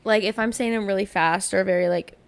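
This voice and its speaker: A young woman with a light Southern US accent co-hosts a casual podcast, talking frankly and giggling between stories. Her voice has a gravelly, fry-tinged edge at the ends of phrases. She leans on "like" as she talks. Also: mid-range voice, fairly even intonation. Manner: candid, giggly, drawn-out filler words. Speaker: podcast co-host. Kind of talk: casual chat.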